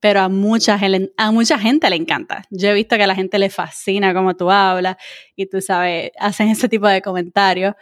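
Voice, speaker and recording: A woman's voice: mid-range voice, fairly even intonation, normal speed, no room sound, clean studio sound. A woman with a Puerto Rican accent hosting a casual interview, chatty and encouraging, mixing English words into her talk. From Puerto Rico. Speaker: interviewer, host.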